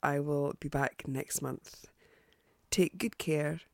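A woman hosting a podcast, chatty and hesitant with lots of ums and restarts, sounding croaky and congested from a cold. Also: sits low, fairly even intonation, takes it slowly. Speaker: podcast host. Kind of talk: casual podcast sign-off.

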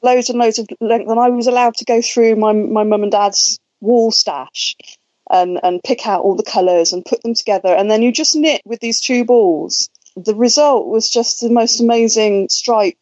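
A woman's voice: fairly high pitch, moderate pace, a little mellow, no echo. A woman enthusiastically recalling a craft project in a casual chat. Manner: enthusiastic recollection. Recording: dry dull lo-fi recording.

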